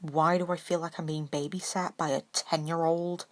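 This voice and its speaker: A woman's voice: low voice, little pitch movement, moderate pace, no room sound, lightly acted. A woman voicing a blunt, sardonic and protective man in a story reading, with a growling manner. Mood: grumbling. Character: gruff, sardonic, protective man with a foul mouth.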